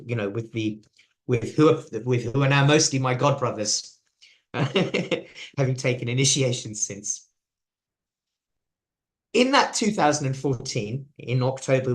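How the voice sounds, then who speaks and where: A man, likely in his forties, giving a reflective personal testimony, laughing softly and often restarting his sentences. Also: mid-range voice. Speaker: devotee sharing his story.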